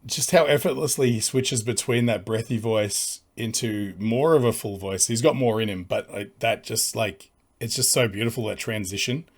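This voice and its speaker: A man with a low voice hosting a music reaction video, talking casually and rambling with fillers and restarts. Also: moderate pace, breathy edge.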